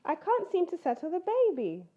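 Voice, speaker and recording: A harried mama bear from a storybook, read by a woman, fretting in a high, lively voice. The recording sounds slightly dull. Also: normal speed, heavily acted. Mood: fretful.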